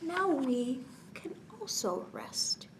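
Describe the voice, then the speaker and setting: A woman hosting a children's bedtime storytime, reading a picture book gently and expressively with casual asides, often dropping to a near-whisper. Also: high voice, big pitch swings, takes it slowly, noisy background.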